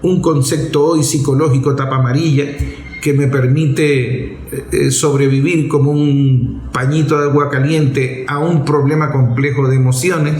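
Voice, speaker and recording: A man's voice: mid-range voice, fairly even intonation, measured pace, a little mellow. A man giving reflective, didactic commentary in an emphatic, rhetorical way, with posed questions and repeated phrasing. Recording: echoey room.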